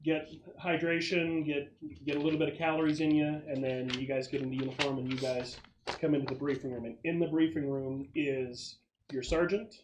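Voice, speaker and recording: A man's voice: a little high, level tone, a little fast. A man acting as game master, explaining the scenario in a conversational way and piecing details together as he goes. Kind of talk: casual tabletop game narration. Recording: noisy room recording with clicks.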